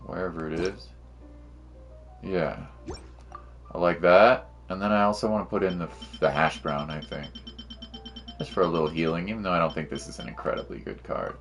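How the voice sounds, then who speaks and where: A man's voice: low voice, normal speed, gravelly, long pauses. A man giving casual gameplay commentary to livestream viewers, slangy and musing aloud to himself, with game music playing behind him. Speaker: game streamer.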